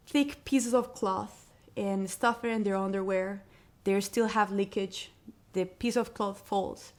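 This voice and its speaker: A woman's voice: medium pitch, a little mellow. Earnest woman. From Colombia. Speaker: guest, social entrepreneur. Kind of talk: interview answers.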